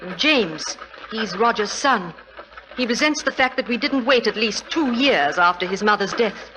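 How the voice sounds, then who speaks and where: An actress playing a frank, wealthy middle-aged society widow, imperious and chatty, in 1940s radio drama style. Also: female voice, a little high, lively intonation, normal speed, natural voice. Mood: candid, assured. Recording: noisy old 1940s radio recording.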